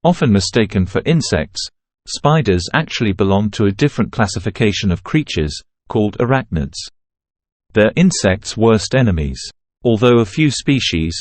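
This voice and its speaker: Male reader for scripted exam practice passages, lowish pitch, dry recording. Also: a little mellow, no echo. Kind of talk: scripted read-aloud of exam practice text.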